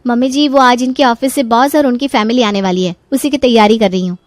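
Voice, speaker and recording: A woman playing a carefree, overconfident young daughter-in-law in an animated story, with a playful, breezy, cheeky manner. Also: a little high, a little fast, dry recording, close to an everyday voice. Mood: breezy, reassuring. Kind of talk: Hindi animated story voice acting.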